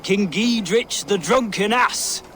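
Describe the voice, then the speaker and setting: A man's voice: high voice, slow pace, sharp tone, a little hiss or hum, heavily acted. An actor playing a jovial, swaggering drunken male nobleman in a video game.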